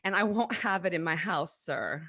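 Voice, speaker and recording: A woman's voice: lowish voice, animated, moderate pace, bright, forceful tone. A sassy, teasing woman from the American South, bantering casually as a talk show co-host.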